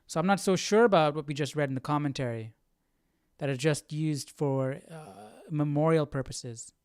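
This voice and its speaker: A man's voice: a little high, normal speed, a little mellow, smooth, no room sound, clean studio sound. A man hosting a live study stream, talking casually and thinking aloud in a hesitant, musing way as he searches for words.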